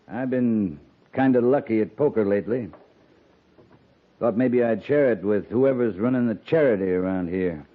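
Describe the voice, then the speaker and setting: A man's voice: lowish voice, normal speed, lightly acted. A man playing a dry, commanding cavalry captain in a 1950s Western radio drama, talking in a laconic, wry way. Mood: wry, knowing. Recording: muffled, noisy 1950s radio recording.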